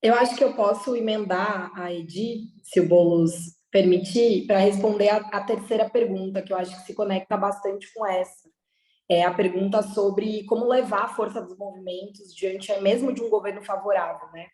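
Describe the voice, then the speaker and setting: A woman panelist speaks in a measured, deliberate way at an even middle pitch. Her voice carries a roomy echo, as if she is on a video call. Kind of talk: panel debate. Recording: roomy sound.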